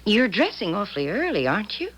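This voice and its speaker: An actress playing a gentle, attentive small-town wife in a 1970s radio drama, mild and chatty. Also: female voice, medium pitch, huge pitch swings, fast, slight background noise. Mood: curious. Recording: dull lo-fi radio recording.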